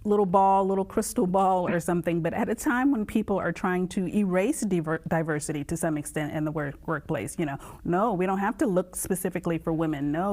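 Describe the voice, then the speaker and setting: A woman's voice: fairly low pitch, darkish tone. A woman hosting a TV interview, asking long conversational questions that set up her point before landing it. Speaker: TV host.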